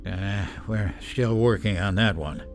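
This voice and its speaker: A man's voice: fairly low pitch, measured pace, over music, natural voice. An actor playing an anxious, evasive middle-aged father and war official in a radio drama, speaking hesitantly and with reserve. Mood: weary, reflective.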